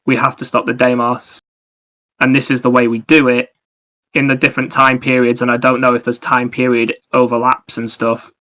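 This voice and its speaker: A man's voice: mid-range voice, pushed and bright, low-quality recording. A man co-hosting a podcast in casual discussion, chipping in and talking through ideas. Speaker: podcast co-host.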